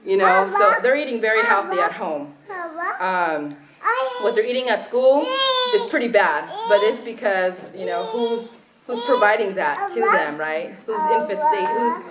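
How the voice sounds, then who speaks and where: A woman from Los Angeles answers from a panel with an earnest, emphatic delivery and steady middle pitch. The room is echoey and a clear hum of noise sits beneath her voice. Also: normal speed. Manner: earnest, emphatic, with filler ums. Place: conference hall.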